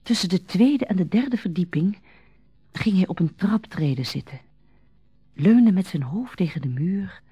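A woman narrating a radio drama in a measured, literary storytelling manner. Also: medium pitch, mellow, breathy edge, dry recording, exaggerated voice.

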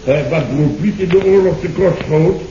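A man's voice: high-pitched, normal speed. A self-important boss in an old radio comedy. He blusters with exasperated sarcasm, stammering and repeating himself. The muffled, echoey recording has heavy noise. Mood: blustering. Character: blustering, indignant middle-aged male boss, self-important. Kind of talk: 1970s Dutch radio comedy acting.

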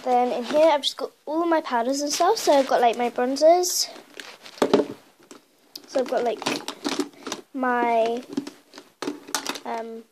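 A young woman with a cold and a croaky voice, chatting casually with lots of fillers as she shows things in her bedroom. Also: sits high, takes it slowly, long pauses, background noise. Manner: chatty, rambling with many fillers. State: hoarse from a cold. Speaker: beauty vlogger. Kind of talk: casual makeup collection tour. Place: bedroom at home, indoors. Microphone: phone camera held in hand.

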